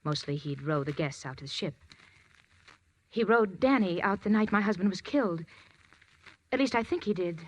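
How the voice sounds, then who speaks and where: An actress playing a nervous, evasive widow in a 1940s radio drama, halting and flustered as she stammers through her lines. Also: lowish voice, expressive, rough recording. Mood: anxious. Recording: old 1940s radio broadcast.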